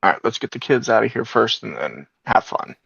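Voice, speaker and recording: A man's voice: lowish voice, big pitch swings, a little fast, gravelly, a bit bright, husky. A man playing a tabletop game, roleplaying casually over a dull, lo-fi microphone.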